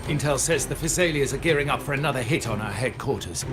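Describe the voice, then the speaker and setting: Guy doing a serious cautious mafia boss, game character, mid voice, clear music in the back. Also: mid-range voice, fast talker, lightly acted. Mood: grave, angry. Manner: serious, decisive. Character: stern, cautious man leading a crime family. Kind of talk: video game voice acting. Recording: music behind the voice.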